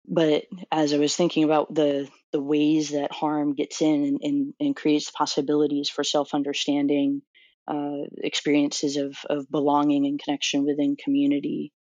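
A woman's voice: low voice, monotone, moderate pace, darkish tone, no room sound, dull audio. A woman in her thirties from Florida, a doctoral student answering interview questions thoughtfully, with many fillers and restarts. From Florida, USA.

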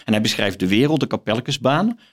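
A man talking as an interview guest, haltingly, repeating words as he searches for his phrasing. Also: medium pitch, very animated. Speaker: guest, philosophy professor. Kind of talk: conversational book interview.

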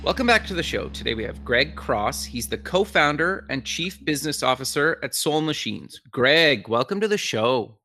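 A man with a bright, forward voice hosts an interview show. His pitch sits a little high, and he speaks in a conversational style. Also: big pitch swings, normal speed, few pauses, faint music behind.

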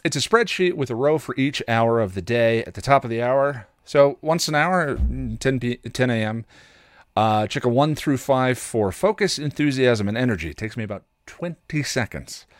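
A man's voice: medium pitch, animated, moderate pace, no room sound, studio quality. A man hosting a casual solo podcast in a rambling, self-deprecating way, often acting out his own inner dialogue. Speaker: podcast host.

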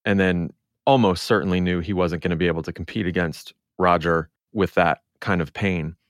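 A man's voice: deep voice, steady tone, moderate pace, dry recording, studio quality. A man hosting a podcast, talking through sports in a casual discussion style. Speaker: podcast host.

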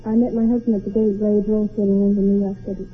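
A woman's voice: medium pitch, flat delivery, quick pace. A woman giving brief conversational answers as a quiz show contestant. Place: radio studio with live audience. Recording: tinny vintage radio, faint music, audience.

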